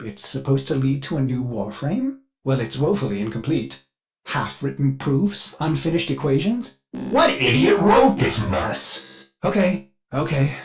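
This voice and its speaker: A man's voice: mid-range voice, darkish tone. A man voicing a fussy, eager ship artificial intelligence in a video game, polite and theatrical, with a manic edge under the courtesy. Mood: exasperated. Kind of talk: scripted video game character dialogue.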